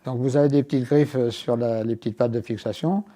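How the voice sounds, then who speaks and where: A man's voice: medium pitch, fairly even intonation, fairly quick, dark tone. A man presenting a product as a guest, explaining it hands-on and step by step with small asides. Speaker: inventor and guest demonstrating his product. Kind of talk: hands-on product demonstration in an interview.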